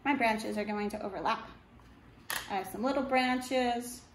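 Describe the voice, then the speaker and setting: A middle-aged woman gives simple step-by-step craft instructions for children in short, unhurried phrases, recorded indoors from across a table. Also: a little high. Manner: unhurried, short simple phrases while working with her hands. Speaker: art teacher demonstrating a craft. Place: indoor room at a wooden table. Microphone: camera a couple of meters away. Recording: roomy echo, faint background noise.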